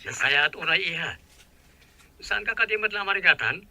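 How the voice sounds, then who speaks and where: A man's voice: high-pitched, lively intonation, normal speed, bright, forceful tone, full character voice. An actor playing a caring middle-aged father in a radio drama, talking to his child. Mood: affectionate. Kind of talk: Filipino radio drama acting.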